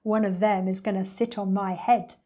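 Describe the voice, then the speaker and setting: A woman's voice: mid-range voice, fairly even intonation, moderate pace, warm tone, lightly acted. A woman voicing an enormous, hungry male crocodile in a storybook, scheming and relishing each word. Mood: gloating, scheming.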